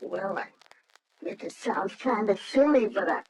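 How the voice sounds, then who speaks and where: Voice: medium pitch, lively intonation, a little slow, gravelly, darkish tone, slight background noise. A performer playing an earnest, anxious young boy in a radio drama, hesitant and pleading, on an old recording. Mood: shy, hopeful.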